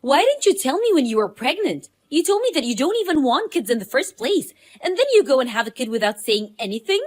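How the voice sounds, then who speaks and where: A woman's voice: high-pitched, very animated, fairly quick. A woman playing a blunt, pushy female friend in a scripted story drama. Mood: indignant, hurt. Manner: blunt, accusing. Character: Allison, pushy, indignant, spoiled but loyal woman friend.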